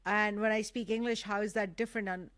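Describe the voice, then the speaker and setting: A woman's voice: mid-range voice, steady tone, brisk, fairly bright tone, no echo. A woman whose first language is Urdu, hosting a casual live talk show with an effusive, emphatic manner that repeats phrases for emphasis.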